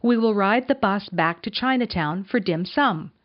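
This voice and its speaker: A woman's voice: medium pitch, animated, moderate pace, highs cut off, close to an everyday voice. A woman voicing a kindly, reassuring old grandfather in a children's storybook. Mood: warm reassurance.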